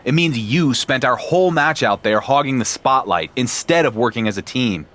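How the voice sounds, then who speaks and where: An actor playing a young champion wrestler who talks in a dry, sarcastic way. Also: male voice, medium pitch, animated, normal speed, fairly bright tone, natural voice.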